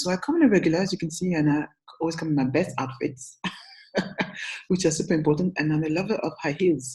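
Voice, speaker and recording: A woman's voice: on the low side, a little mellow, rough recording. Upbeat French-accented woman. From France. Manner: chatty and upbeat, with self-corrections and "okay?" check-ins. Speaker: brand strategist and agency founder. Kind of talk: talking-head business advice video.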